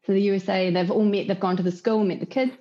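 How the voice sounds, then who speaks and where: A woman's voice: medium pitch, fairly even intonation, fast talker, dark tone. Chatty New Zealand woman, dull lo-fi sound. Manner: chatty, laughs easily. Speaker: design director, guest. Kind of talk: casual interview.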